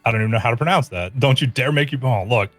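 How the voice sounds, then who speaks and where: A man's voice: medium pitch, rapid-fire, soft background music. A man co-hosting a live stream, joking around, laughing often and doing silly voices. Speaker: streamer co-host. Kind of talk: casual stream chat over gameplay.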